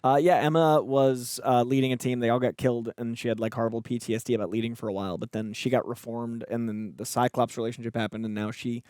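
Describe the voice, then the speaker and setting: A man's voice: mid-range voice, little pitch movement, fast, hardly any pauses, a little mellow, clear, resonant tone, dry recording, studio quality. A man co-hosting a reaction show, casually explaining comic-book history in long, rambling run-on sentences. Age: adult, feels he is getting older.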